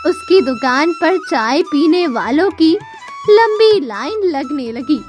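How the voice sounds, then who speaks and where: High-voiced woman narrating a slow story. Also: expressive, over music. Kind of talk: scripted storytelling narration for a children's moral tale.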